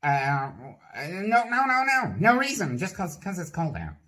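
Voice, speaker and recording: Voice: mid-range voice, sing-song, measured pace, bright, forceful tone. A puppeteer voicing a nosy, clumsy sock puppet host who asks awkward questions full of nervous stammers. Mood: uneasy. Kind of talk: comedy puppet sketch.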